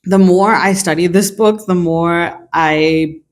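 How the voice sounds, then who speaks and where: A young adult book reviewer, raised in China, talks through a novel's themes in a relaxed, thoughtful way. The pitch sits mid-range, and the speech is full of small hedges and restarts. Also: slow. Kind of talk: casual video book review.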